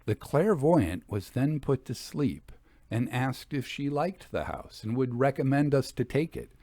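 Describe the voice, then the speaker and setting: Expressive male storyteller, close and dry. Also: medium pitch, unhurried, no room sound. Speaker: audiobook reader. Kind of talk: scripted narration of a Victorian ghost story.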